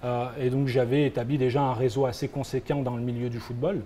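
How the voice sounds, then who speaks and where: A man's voice: mid-range voice, flat delivery, quick pace, mellow, a little hiss or hum. A young man from France answering interview questions, hesitant and full of fillers. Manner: hesitant, many fillers and restarts. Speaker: guest, founder of a football agency.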